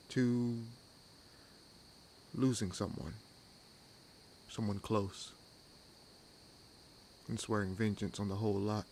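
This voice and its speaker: Man acting deep-voiced, sardonic old vampire, crickets behind. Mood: probing, curious. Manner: dry, sardonic, musing, unhurried pauses. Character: centuries-old male vampire, wry, world-weary, sardonic companion. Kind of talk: audio roleplay acting addressed to the listener. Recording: clear background hiss and night ambience. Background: added forest ambience with crickets and insects.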